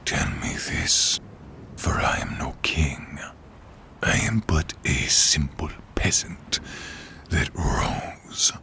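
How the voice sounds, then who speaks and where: A man playing a menacing, bitter dark sorcerer king risen from peasantry, with a gravelly half-whispered rasp and grandiose, taunting delivery in a fantasy audio drama. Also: rumbling low, animated, heavily acted. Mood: brooding, proud. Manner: slow, theatrical, taunting delivery. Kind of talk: fantasy audio roleplay acting.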